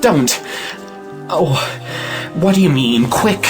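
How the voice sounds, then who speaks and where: A man's voice: on the high side, expressive, slow pace, faint music behind. A man playing a passionate, jealous young suitor, earnest and anguished, in a melodramatic audio play. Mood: shocked, pleading. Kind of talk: melodramatic audio drama acting of a 1917 play.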